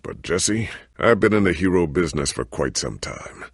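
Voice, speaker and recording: A man playing a proud, earnest veteran warrior hero in a video game, speaking formally and theatrically with a deep, raspy voice. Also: expressive, normal speed, no room sound, clean studio sound, heavily acted. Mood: grave. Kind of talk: dramatic video game dialogue.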